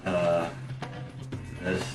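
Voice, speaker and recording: A man giving casual developer commentary over gameplay, agreeable and quick to laugh, often echoing his partner. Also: sits low, monotone. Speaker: game developer. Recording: roomy, distant mic, game music behind.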